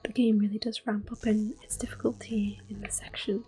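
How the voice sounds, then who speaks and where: A woman giving hushed, gentle, soft-spoken ASMR commentary as she plays a video game. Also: medium pitch, flat delivery, fairly quick, slight background noise. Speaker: ASMR gaming host. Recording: soft music in the background. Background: quiet game music.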